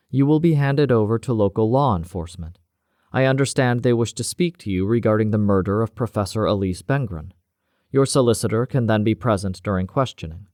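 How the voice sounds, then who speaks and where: A man voicing a cold, authoritative female security officer, speaking slowly and calmly with command. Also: medium pitch, no room sound, clean studio sound, voice pushed up, natural voice. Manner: slow, calm and commanding.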